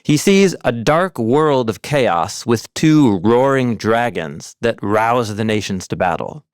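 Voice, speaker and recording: Male educational narrator, medium pitch, teacherly but informal, scripted read, very dry studio sound. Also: lively intonation, slow, smooth, dry recording. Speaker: narrator of an animated Bible overview. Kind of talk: scripted explanatory narration with conversational asides. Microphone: close studio voice-over mic, dry.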